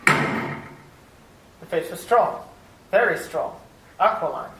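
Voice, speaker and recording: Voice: medium pitch, animated, extremely slow, fairly bright tone. An actor playing an earnest, frightened English traveller held prisoner, telling the tale dramatically in an old-time radio drama. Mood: tense, awed. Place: live performance at a public library. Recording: roomy, distant, noisy live recording.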